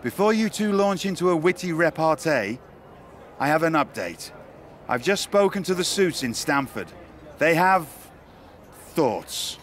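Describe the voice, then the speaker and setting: An actor playing a dry-witted wrestling tour organizer with a formal, wry way of speaking, in a video game cutscene. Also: male voice, fairly high pitch, sing-song, unhurried, fairly bright tone.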